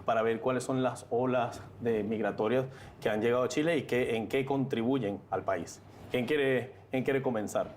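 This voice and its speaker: A man's voice: medium pitch, steady tone, moderate pace, a little mellow, slight background noise. A man hosting a televised interview and debate, framing questions in a conversational way and thinking aloud as he goes. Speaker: TV interview host.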